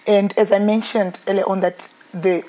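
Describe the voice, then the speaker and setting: A woman's voice: mid-range voice, level tone, moderate pace, warm tone, slight background noise. A woman, hosting a TV talk show with an enthusiastic, effusive manner, on a lapel mic. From Zimbabwe. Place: indoor TV studio set, seated in armchair.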